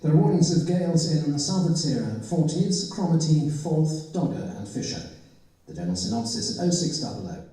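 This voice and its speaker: A man's voice: expressive, a little fast. A man formally reading a scripted radio shipping forecast, played over a PA in a reverberant hall. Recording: boomy reverberant hall, distant loudspeaker playback.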